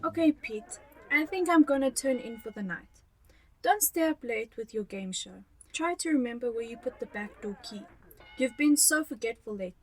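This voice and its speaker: A fussy, affectionate elderly wife and mother, played by a woman in an amateur radio drama. The voice sits high and moves about a lot, full of motherly concern. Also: animated, normal speed, darkish tone, soft background music, natural voice. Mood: calm, chiding.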